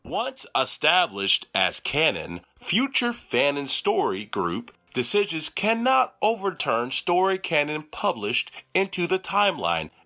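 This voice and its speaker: Slow, lively man listing roles. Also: on the high side. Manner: slow, deliberate list reading. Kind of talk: scripted announcement reading.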